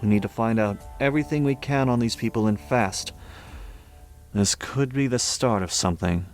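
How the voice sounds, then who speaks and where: A man's voice: medium pitch, normal speed, soft background music, close to an everyday voice. A man playing a terse, brooding police detective in a horror audio drama. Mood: urgent. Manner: terse, clipped, brooding sentences. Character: puzzled, brisk male police detective in charge.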